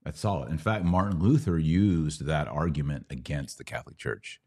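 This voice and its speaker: Very deep-voiced American man teaching conversationally. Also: moderate pace, darkish tone, slightly rough. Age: middle-aged. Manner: didactic, prompts with questions and rhetorical checks. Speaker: podcast host and Bible teacher. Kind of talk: conversational live Bible Q&A teaching.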